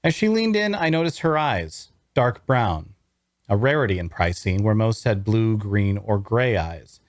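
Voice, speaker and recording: A man playing a wry young traveler, telling his fantasy adventure in measured, dramatic first-person narration at a table read. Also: fairly low pitch, lively intonation, dry recording, studio quality. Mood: wry, observant. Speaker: voice actor.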